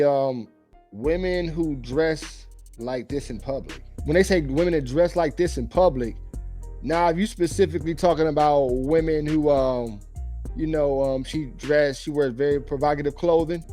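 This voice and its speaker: Slightly hoarse man with a mellow voice. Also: a little high. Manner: loose, rambling, conversational. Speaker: dating commentary vlogger. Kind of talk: casual opinionated commentary.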